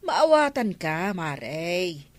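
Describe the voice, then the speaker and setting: A woman's voice: on the low side, sing-song, slow, no echo. An actress in a comedy radio drama playing a sympathetic village woman, an agreeable confidante. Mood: understanding.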